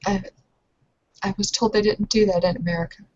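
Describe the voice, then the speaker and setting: A woman playing a nervous, polite young wife in a tabletop roleplay, speaking in a halting, awkward way. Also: medium pitch, fairly even intonation, darkish tone, lo-fi sound, natural voice. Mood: tentative. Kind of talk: tabletop roleplay, improvised in character.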